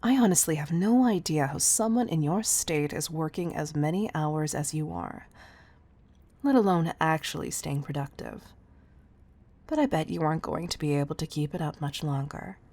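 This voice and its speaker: A woman voicing a stern yet doting tough-love CEO in an audio roleplay, speaking in a measured, condescending way with pet names. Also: low-pitched, dark tone, no room sound. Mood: stern scolding. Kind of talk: scripted audio roleplay addressed to the listener.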